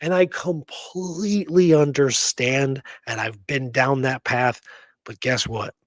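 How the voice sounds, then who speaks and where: A man's voice: mid-range voice, slow pace, no echo. A man co-hosting a casual history podcast, speaking in an emphatic, informal, argumentative way. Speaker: podcast co-host.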